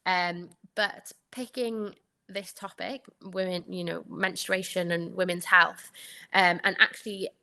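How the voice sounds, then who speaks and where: A woman's voice: on the low side, gravelly, sharp tone. A young woman with a Welsh accent, Welsh her first language, answering interview questions in a chatty way, laughing often between her points. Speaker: guest, anaesthetics trainee.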